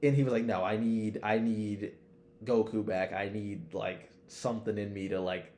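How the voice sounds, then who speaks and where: A man's voice: on the low side, fairly even intonation, moderate pace, darkish tone. A man co-hosting a podcast, chatting casually about anime with lots of slang and heavy swearing. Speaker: podcast co-host. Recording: roomy, echoey sound.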